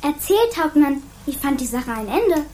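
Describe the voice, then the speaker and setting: A woman's voice: high-pitched, very animated, measured pace, mellow, low-quality recording. A woman playing a smart, teasing young girl in a radio play. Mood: pert, curious. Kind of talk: German radio play acting.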